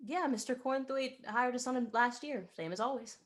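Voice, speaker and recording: A woman playing a breezy traveling musician. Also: high voice. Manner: breezy, polite, quick-witted.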